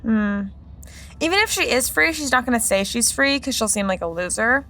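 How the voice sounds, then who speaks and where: A woman's voice: a little high, animated, normal speed, bright, forceful tone. A woman playing Laura, a bubbly, scatterbrained young woman who drives the friends around in a podcast sitcom. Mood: chipper.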